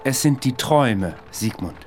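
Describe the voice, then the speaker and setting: A man's voice: medium pitch, animated, a little slow, a little hiss or hum, close to an everyday voice. Male actor as eccentric self-made analyst, radio drama: confident, eloquent, needling, with widely swinging intonation. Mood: musing, self-assured. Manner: eloquent, probing, emphatic. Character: confident, eccentric amateur psychoanalyst, provocative and insistent. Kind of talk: German radio play acting.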